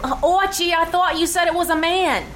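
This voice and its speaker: A woman's voice: very high-pitched, moderate pace, fairly bright tone. A woman playing an eager, brassy, man-hungry single lady in old-time radio comedy with exaggerated reactions. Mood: eager, flirty. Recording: live theatre stage with audience noise.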